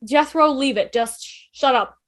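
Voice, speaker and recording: A woman playing a quick-thinking, reassuring time-travelling adventurer in a fan table read of a TV drama. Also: sits high, natural voice. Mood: urgent. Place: online video call.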